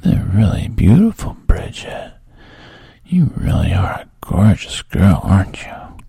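Coaxing hushed man, breathy and gravelly, low dry whisper, as a narrator puts him on. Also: sing-song, measured pace, no room sound, full character voice. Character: soft-spoken coaxing adult man.

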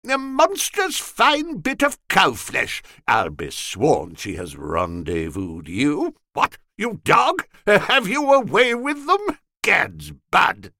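A man voicing a fuddled, low-class young rake with boisterous, uneducated old slang in an audiobook. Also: huge pitch swings, slow pace, few pauses, bright, forceful tone, dry recording, clean studio sound, full character voice. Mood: leering.

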